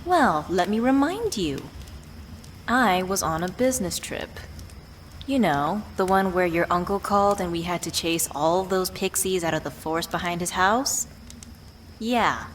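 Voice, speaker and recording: Woman acting affectionate, exasperated witch, ambient noise. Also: on the low side. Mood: teasing exasperation. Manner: playfully exasperated, tender scolding with sighs. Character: worried, affectionate witch scolding her beloved partner. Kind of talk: ASMR roleplay audio drama. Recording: clear background ambient noise.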